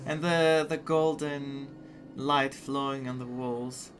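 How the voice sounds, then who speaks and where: A Greek-accented man gives casual gameplay commentary at a middling pitch. He reacts with enthusiastic little asides while the game's music plays clearly behind him. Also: steady tone, takes it slowly. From Greece. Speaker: gaming content creator. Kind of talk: casual let's play commentary. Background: game music.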